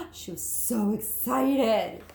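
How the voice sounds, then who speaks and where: A woman teacher reading a storybook aloud to children, expressive and emphatic, speaking to her listeners directly. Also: fairly high pitch, extremely slow, creaky.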